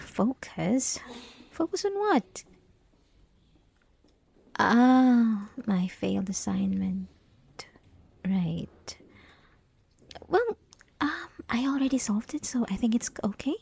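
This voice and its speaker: Woman acting a soft, high-voiced clingy yandere schoolgirl. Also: sing-song, creaky, breathy edge, heavily acted. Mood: coy, evasive. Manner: coy, teasing, pleading, halting, often dropping to whispers. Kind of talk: ASMR roleplay, intimate scripted monologue.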